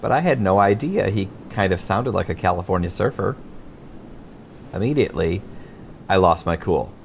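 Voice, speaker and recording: An American man narrates his own audiobook memoir in a lowish voice. His intonation is animated and often drops into creak. A faint rumble sits in the recording. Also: normal speed. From Michigan, United States.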